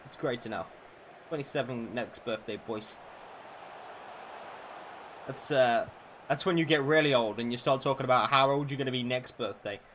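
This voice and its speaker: A man in his twenties talks casually over a football game stream, his voice sitting in an ordinary middle pitch. He chats freely with viewers and swears often as he goes. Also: moderate pace, long pauses, fairly bright tone, slight background noise. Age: 20s. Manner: chatty, swears freely, answers viewers' questions. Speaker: gaming streamer.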